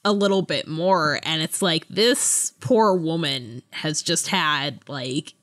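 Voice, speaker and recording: A woman's voice: low-pitched, takes it slowly, fairly bright tone. A woman hosting a podcast in a casual book discussion, often breaking off and rephrasing her thoughts as she goes. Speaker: podcast host.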